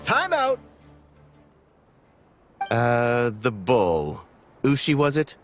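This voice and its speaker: A man's voice: medium pitch, huge pitch swings, very slow, soft background music, heavily acted. A man playing an officious, stern tournament referee in a video game, speaking in a formal, declarative way. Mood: halting, stern.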